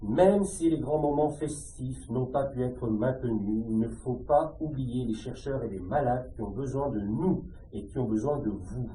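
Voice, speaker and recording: A man's voice: medium pitch, moderate pace, few pauses, warm tone. A French man giving a formal speech of appeal to residents as an elected official. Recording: distant, muffled, echoey room with faint noise.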